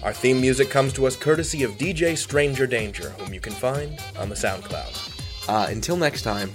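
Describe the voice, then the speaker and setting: A man's voice: mid-range voice, moderate pace. A man hosting a podcast in a chatty, informal, jokey style with quirky, self-deprecating asides. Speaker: podcast host. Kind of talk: chatty scripted podcast outro. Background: faint music.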